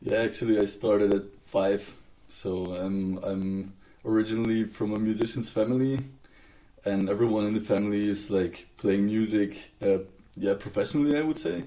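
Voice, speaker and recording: A young man from Belgium, a guest musician in a casual interview, speaking in a relaxed way with hesitant fillers. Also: on the low side, moderate pace, low-quality recording.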